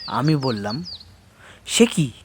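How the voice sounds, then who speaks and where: A man's voice: mid-range voice, background noise. An actor playing a curious, eager man, narrating his own adventure expressively in a horror audio story. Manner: expressive, unhurried. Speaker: first-person narrator.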